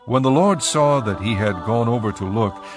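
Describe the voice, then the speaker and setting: A man narrating a dramatized scripture reading as a measured storyteller. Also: medium pitch, lively intonation, music in the background.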